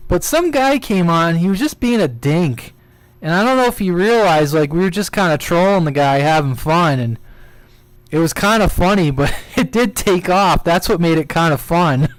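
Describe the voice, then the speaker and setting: A man's voice: sits high, no echo. A man hosting a casual live stream, chatting and telling stories in a jokey, rambling way. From United States. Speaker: CB radio live stream host. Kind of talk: casual chat.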